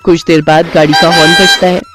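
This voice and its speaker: A man's voice: a little high, flat delivery, a little fast. A man narrating a scripted moral story, with music playing behind him. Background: background music.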